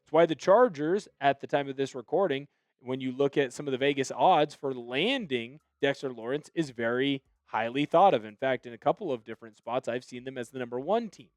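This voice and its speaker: A man hosting a solo sports news video, giving opinionated commentary that now and then bursts into animated excitement. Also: mid-range voice, fairly quick, no room sound.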